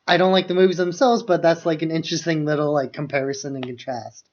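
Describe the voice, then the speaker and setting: Muffled lo-fi audio, guy with a highish voice, podcast cohost talking movies, very informal, says like a lot. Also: steady tone, quick pace. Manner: informal, hedging with many "like"s. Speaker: podcast co-host. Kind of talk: casual film discussion.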